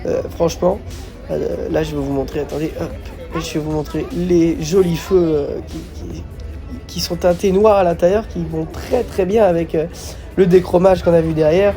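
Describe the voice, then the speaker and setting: A man with a fairly high but soft, mellow voice hosts a casual car vlog. He has a slight cold and talks in a hesitant, repetitive way, with music faintly behind him. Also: fairly even intonation, normal speed. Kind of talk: casual vlog commentary on cars.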